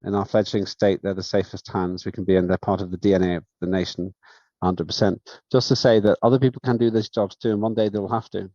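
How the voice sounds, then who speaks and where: A man chairing a parliamentary session over a video call, speaking in a measured, halting way with many fillers. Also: sits low, steady tone, mellow, dry recording. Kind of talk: formal remarks at an online session. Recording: dull, dry lo-fi sound.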